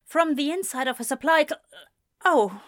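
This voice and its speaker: A giddy, cheeky young angel voiced by a woman for an audio drama. The voice is high and bubbly, leaping up and down as it blurts out gossip, in a dry close recording. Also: expressive, normal speed, a bit bright, no echo. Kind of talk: audio fan fiction character acting.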